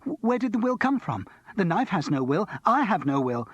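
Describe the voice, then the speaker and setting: A man reading aloud and voicing an agitated, manic young male student who swings between wild laughter and quiet. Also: very animated, normal speed, breathy edge, no echo, exaggerated voice. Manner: jittery, laughing, high-strung. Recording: slightly muffled dry recording.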